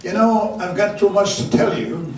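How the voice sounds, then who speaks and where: An older man from South Dakota giving a folksy storytelling talk into a handheld mic in a large meeting room, halting and restarting often. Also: high-pitched, lively intonation, recorded from a distance. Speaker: guest speaker. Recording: echoey room, mic from afar, faint hiss.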